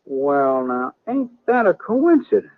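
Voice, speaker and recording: An actor playing a talkative, friendly small-town blacksmith in an old radio western, with a husky voice and a rural Western American drawl. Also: male voice, on the high side, big pitch swings, slow pace, dry recording, exaggerated voice. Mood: gossipy. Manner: folksy, garrulous, drawling. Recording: dry, muffled old radio recording.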